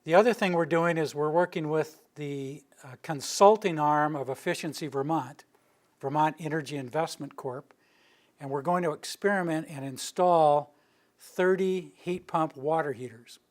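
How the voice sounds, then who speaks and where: An older man from the Rocky Mountain region gives an informal presentation to a roomful of listeners. His delivery is steady and close to monotone, and his voice sits slightly higher than most men's. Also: normal speed. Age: older, near retirement. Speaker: utility planning director giving a public presentation. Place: meeting room with a live audience.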